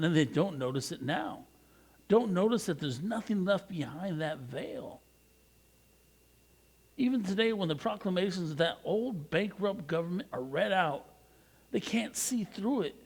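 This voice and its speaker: An American man, a pastor, reading scripture aloud expressively with emphatic, deliberate phrasing. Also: a little high, creaky, slightly rough.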